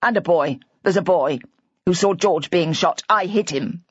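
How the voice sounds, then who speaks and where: A woman's voice: lowish voice, measured pace, husky, dry recording, lo-fi sound. A woman voicing a distraught older husband whose words tumble out in a rambling, hysterical rush.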